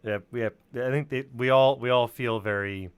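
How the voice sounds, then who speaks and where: A man's voice: mid-range voice, steady tone, moderate pace, clean tone, no room sound. A man chatting casually as a podcast co-host, wry and cynical, with some swearing. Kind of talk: casual chat.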